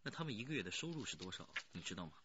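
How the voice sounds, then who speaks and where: An actor playing a probing, sceptical police detective, pressing a witness in a radio crime drama. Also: male voice, on the low side, big pitch swings, sharp tone, slightly rough, dry recording, lo-fi sound, full character voice. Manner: brisk, pressing.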